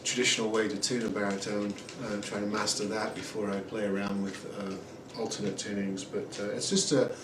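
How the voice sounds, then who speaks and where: A man in his forties giving a relaxed home guitar review, rambling with many ums and uhs. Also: on the low side, a little fast, hardly any pauses. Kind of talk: casual product review. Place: small room at home, indoors. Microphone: camera mic at a distance. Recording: roomy, distant camera audio with noise. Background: acoustic guitar playing.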